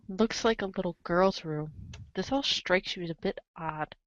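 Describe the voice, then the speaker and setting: A woman's voice: on the low side, measured pace, no room sound, highs cut off. A woman reading a webcomic script aloud as a reader's theater performer, with emphatic, playful exasperation. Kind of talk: animated dramatic reading of a webcomic, in second person.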